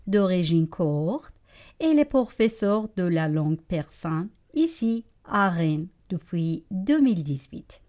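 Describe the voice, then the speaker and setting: Slow, expressive woman radio host. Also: medium pitch. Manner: slow, expressive, poetic delivery. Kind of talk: scripted radio introduction. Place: radio studio.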